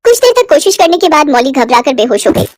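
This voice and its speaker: High-pitched woman storyteller. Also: sing-song, fast talker, a little mellow, no room sound, clean studio sound. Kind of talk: scripted story narration. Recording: music behind the voice.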